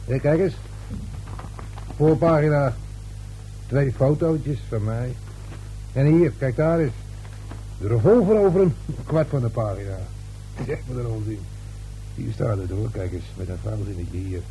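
Halting, mellow-voiced caretaker. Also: male voice, medium pitch, animated, a little hiss or hum, low-quality recording. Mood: eager, boastful. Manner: halting, deferential. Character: chatty, self-important building caretaker, hesitant and deferential. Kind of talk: radio play acting.

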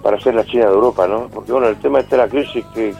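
A man in his fifties from Spain, by his accent, with a slightly low voice. He speaks as an interviewed activist, informally and haltingly with many tag questions. The sound is dull and lo-fi, with music faintly behind him. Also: steady tone, creaky, mellow. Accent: Spanish from Spain. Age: 50s. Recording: dull band-limited call with music behind.

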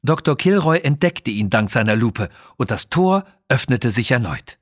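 A man's voice: mid-range voice, big pitch swings, normal speed, background noise. A man narrating a scripted children's adventure story in a dramatic style.

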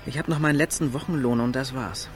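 A man's voice: medium pitch, quick pace, faint music behind, natural voice. An actor playing a cocky, scheming young small-town man in a radio drama, talking in a slangy, pushy, offhand way. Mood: sullen, defiant. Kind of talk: naturalistic radio drama acting in German.

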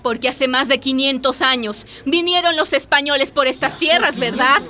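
A woman's voice: sits high, normal speed, sharp tone. An actress playing a fiery village woman rallying her neighbours in a radio drama, impassioned and oratorical. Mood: indignant. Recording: crowd murmur behind the voice.

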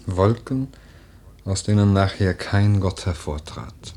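A man playing a grave, melancholy noble widower in a German literary radio play, earnest and deliberate in speech. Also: low voice, darkish tone. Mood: grave, pensive. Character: grave, melancholy noble widower, tender toward his cousin.

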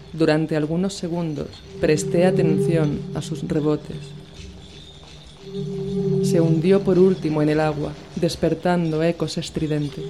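A woman from Spain reading a literary horror story aloud in a measured, dramatic storytelling manner. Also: sits low. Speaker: narrator. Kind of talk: scripted literary narration. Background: loud music with a singing bowl.

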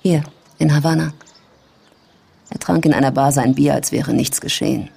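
A woman playing a candid, knowing adult daughter who confides family secrets in a measured way in a German radio drama. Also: low voice, creaky, mellow, breathy edge, exaggerated voice. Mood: confiding.

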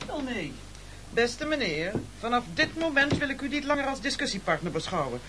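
A man's voice: very high-pitched, big pitch swings, moderate pace. A male actor playing a domineering, pompous castaway boss in a radio play, speaking formally. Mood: commanding. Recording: noisy old radio recording.

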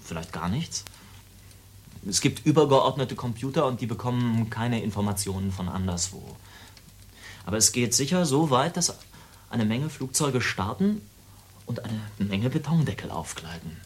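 An actor in a German radio play, playing a military radar operator who explains doomsday machinery in a casual, brooding, halting way. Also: male voice, mid-range voice, big pitch swings, a little hiss or hum, lightly acted. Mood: quietly brooding.

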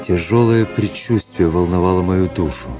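A man's voice: sits low, normal speed, lightly acted. An actor playing an anguished, brooding officer who narrates his lost love in a radio drama, with theatrical, intense phrasing. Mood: weary foreboding. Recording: prominent music under the voice. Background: music.

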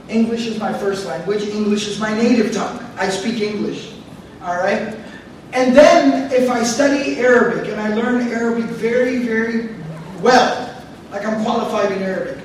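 A man's voice: very high-pitched, slight background noise. A man teaching a Quran commentary lesson, repeating himself and explaining words one by one, often going off on tangents. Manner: repetitive, explains word by word, digressive. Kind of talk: explanatory lecture. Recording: very echoey room, voice from a distance.

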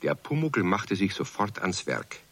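A man's voice: fairly low pitch, sing-song, measured pace, creaky, fairly bright tone, slightly rough, no room sound, exaggerated voice. A man narrating a children's radio play in a scripted storybook style.

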